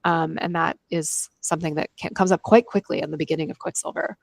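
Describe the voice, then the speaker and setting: Low-voiced woman podcaster, close and dry. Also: steady tone, a little fast, creaky, no room sound. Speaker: book club podcast host. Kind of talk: casual chat with read-aloud chapter summaries.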